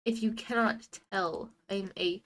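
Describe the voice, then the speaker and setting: A woman's voice: medium pitch, steady tone, a little slow, fairly bright tone. A young woman with a congested nose chatting casually on a game stream, drifting into muttered asides to herself. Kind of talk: casual live stream chat while playing a game.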